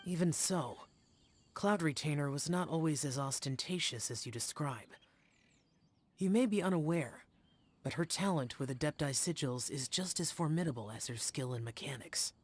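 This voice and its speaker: A man's voice: on the high side, lightly acted. Man playing a reserved young immortal guardian. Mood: stern, reflective. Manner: formal, reserved, measured phrasing. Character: stern, aloof, youthful immortal guardian warrior, male. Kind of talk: video game character voice acting.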